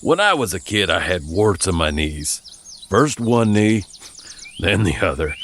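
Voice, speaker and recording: An American man from Virginia narrating a first-person story in a folksy, wry, expressive way. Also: low voice, unhurried. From Virginia, United States.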